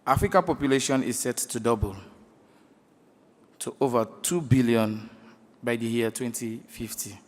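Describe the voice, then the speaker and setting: A man delivers a formal address. His delivery is deliberate and oratorical, with a mid-pitched voice ringing through a long hall reverb. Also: darkish tone. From Sierra Leone. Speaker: government minister giving a speech. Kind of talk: formal ceremonial public speech. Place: large indoor conference hall.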